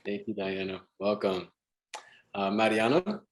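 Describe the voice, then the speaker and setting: A man's voice: on the low side, animated, measured pace, poor audio. A man in his thirties hosting a video-call panel from his living room, upbeat and welcoming. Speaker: host. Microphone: webcam on video call.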